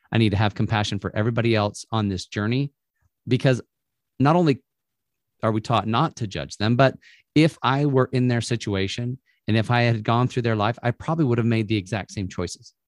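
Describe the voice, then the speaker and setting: A man's voice: fairly low pitch, dry recording, studio quality. A man hosting a faith-based conversation, speaking reflectively and affirming his guest. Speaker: host.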